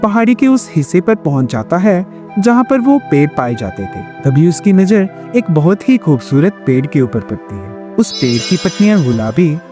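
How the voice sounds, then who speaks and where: A male storyteller reads scripted narration in a mellow tone. His pitch sits on the high side and moves expressively through each sentence. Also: very animated, moderate pace, soft background music.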